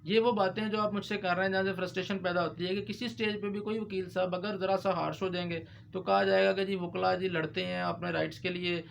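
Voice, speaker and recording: High-voiced man explaining law over noise. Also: flat delivery, fast talker, hardly any pauses. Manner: code-switches into English legal terms. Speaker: lawyer explaining case procedure. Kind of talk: explanatory legal discussion. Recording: clear background noise.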